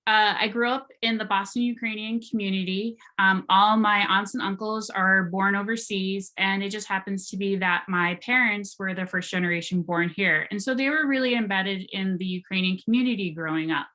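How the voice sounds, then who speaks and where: American woman, young adult, bright tone; reflective panel talk, halting with fillers. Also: mid-range voice, poor audio. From United States. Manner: earnest and reflective, many fillers and restarts. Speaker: artist speaking on a panel. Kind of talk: panel talk presenting her art.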